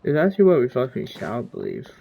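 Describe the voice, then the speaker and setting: A man's voice: mid-range voice, wildly swooping pitch, fast talker, creaky, highs cut off, slight background noise. A man giving casual gameplay commentary, reacting to the game and reading its dialogue aloud. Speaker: gamer playing and reacting. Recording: game music behind voice.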